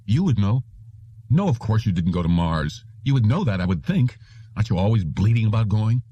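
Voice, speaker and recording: Man reading as a cold, accusing wife, very mellow. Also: on the low side, sing-song, fairly quick, breathy edge, no echo. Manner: level, controlled, toneless.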